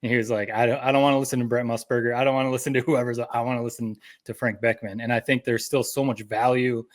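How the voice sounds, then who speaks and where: A man's voice: mid-range voice, little pitch movement, fast. Male podcast co-host, American accent, mid-range voice, casual interview chat with roundabout, conversational phrasing. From mid-Michigan, United States.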